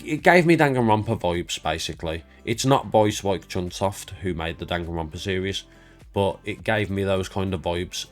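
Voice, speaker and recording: A man with a congested, stuffed-up voice, rambling casually and self-deprecatingly as he walks through his game collection for his channel. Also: lowish voice. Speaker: YouTube creator.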